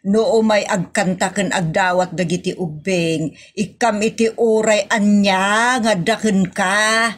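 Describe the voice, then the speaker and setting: A woman's voice: mid-range voice, steady tone, measured pace, few pauses, close to an everyday voice. A woman playing a scolding, exclamatory wife with an Ilocano accent in a comedy audio drama. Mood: insistent.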